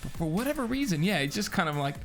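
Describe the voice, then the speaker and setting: A man's voice: high voice, big pitch swings, quick pace, a bit bright, faint music behind. A man co-hosting a film review as a casual chat, with informal, slangy phrasing.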